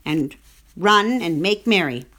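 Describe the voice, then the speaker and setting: A woman's voice: lowish voice, lively intonation, slow, a bit bright, heavily acted. A woman voicing a lonely young male pig who pleads earnestly, in a storybook reading. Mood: pleading.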